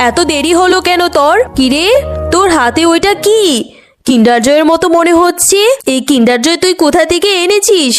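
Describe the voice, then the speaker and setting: A woman's voice: extremely high pitch, animated, a little fast. A woman voicing a harsh, greedy tigress aunt with an accusing, nagging manner in a children's cartoon. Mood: suspicious, scolding. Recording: faint music behind the voice.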